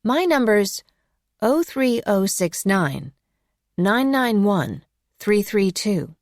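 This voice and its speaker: A woman voice artist reads example phone messages for learners. She takes her time over each phrase with careful enunciation and expressive intonation, in a very dry studio recording. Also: mid-range voice, takes it slowly, no echo. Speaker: English course voice artist.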